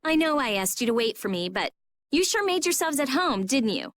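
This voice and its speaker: A woman's voice: high-pitched, very animated, fairly quick, fairly bright tone. A woman voicing a blunt, prickly teenage girl mage in a video game, curt, sardonic and bossy. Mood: dry, sardonic. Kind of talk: English video game dub acting.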